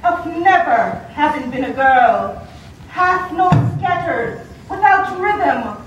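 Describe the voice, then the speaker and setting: A woman acts a solemn woman reciting stage poetry. She speaks slowly in a very high voice, distant in a heavily echoing hall. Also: expressive, lo-fi sound, background noise, full character voice. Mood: solemn pleading. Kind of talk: slow theatrical poetry recitation. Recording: very echoey hall from far off.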